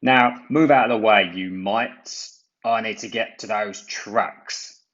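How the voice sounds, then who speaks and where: A man's voice: fairly low pitch, slow, a bit bright, muffled recording, voice pushed up. Man voicing a grumpy, haughty dockside crane. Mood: bossy, rude.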